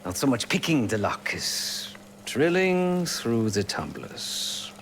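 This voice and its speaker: A man's voice: mid-range voice, very animated, takes it slowly, fairly bright tone, a little hiss or hum, exaggerated voice. A man playing a courtly, remorseful former secret policeman turned hacker in a video game, formal and philosophical with a wry edge. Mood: reflective. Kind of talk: video game dialogue acting.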